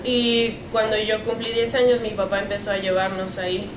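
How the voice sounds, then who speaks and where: A woman's voice: medium pitch, flat delivery, fast. A woman from Mexico City with a Mexican Spanish accent, telling a reflective personal story. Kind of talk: personal testimony. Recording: echoey room recording with noise.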